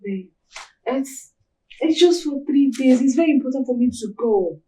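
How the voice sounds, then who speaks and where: An actress playing a wife gently coaxing her husband into favors. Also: sits high, animated, normal speed, breathy edge, low-quality recording.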